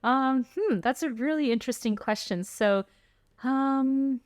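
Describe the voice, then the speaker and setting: A woman's voice: sits high, measured pace, no echo. Chatty middle-aged woman, dry close sound. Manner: chatty, informal, frequent "like" and "you know". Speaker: podcast guest, traveling homeschooling mother. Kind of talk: casual interview chat.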